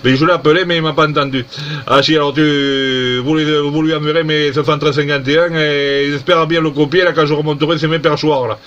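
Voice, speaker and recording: Chatty male radio hobbyist, slightly high voice, amazed and repetitive, dull recording. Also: fairly even intonation, brisk, fairly bright tone. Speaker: amateur radio operator. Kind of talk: casual CB-style radio contact.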